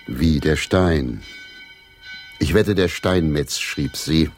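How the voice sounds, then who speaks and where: An actor playing a genial, theatrical English stage player of centuries past in a German radio drama, courteous and expansive. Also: male voice, very deep voice, slow, darkish tone. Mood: knowing.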